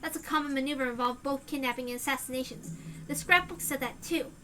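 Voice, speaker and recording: A woman voicing a paranoid teenage girl narrator, earnestly sure she is being hunted, her voice hoarse. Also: high voice, quick pace, sharp tone, slight background noise. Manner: earnest, tense conviction.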